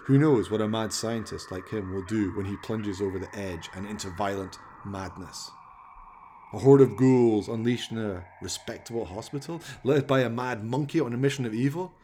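A man's voice: on the low side, normal speed, mellow, a little hiss or hum. A man narrating a comic horror radio play in a melodramatic, ominous storytelling manner.

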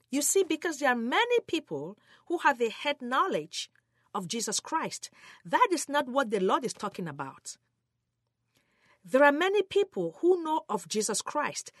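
A woman's voice: high voice, dry recording, clean studio sound. A woman teaching the Bible in a sermon style, emphatic and earnest, speaking directly to her listeners. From Cameroon, West Africa.

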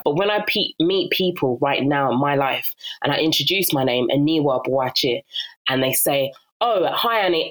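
Woman from Ghana, young adult, very low voice; lively podcast guest who laughs easily. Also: rough recording. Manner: animated, laughs often, many fillers. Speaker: podcast guest, actress and narrator. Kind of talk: casual interview chat.

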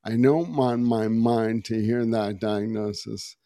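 A middle-aged man speaks low and slowly, with a raspy, hoarse edge on every phrase. He stops often on uh and um as he tells his own story. The sound is dry and close. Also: steady tone, dark tone, no room sound. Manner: slow and halting, many uh and um. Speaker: podcast guest, meditation teacher. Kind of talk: personal story in conversation.

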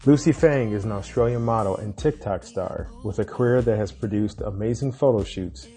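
A male podcast host with a low voice and a dark, relaxed tone. He presents and interviews in a conversational way, with faint music behind him. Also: normal speed. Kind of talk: scripted intro, then casual interview.

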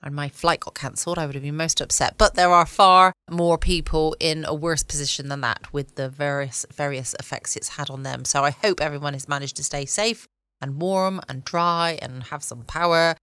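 An English woman chatting informally and cosily as the host of a relaxed bedtime podcast. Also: low-pitched, normal speed, smooth, no echo. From United Kingdom. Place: at home, indoors.

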